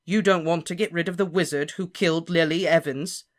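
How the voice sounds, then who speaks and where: Brief: earnest teenage boy wizard, quiet but insistent, read by the narrator. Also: mid-range voice, fairly even intonation, a little fast, pitched above the performer's own voice, close to an everyday voice. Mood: determined.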